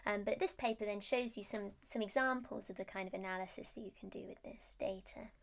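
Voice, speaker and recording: A woman's voice: medium pitch, brisk. A woman presenting research as a scientist, explaining technical slides in a hesitant, stop-start way.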